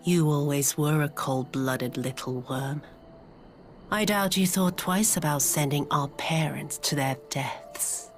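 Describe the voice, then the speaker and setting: A woman acting a cold, bitter villainous sister in a video game cutscene, breathy and sardonic. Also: sits low, takes it slowly. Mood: icy contempt. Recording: music behind the voice.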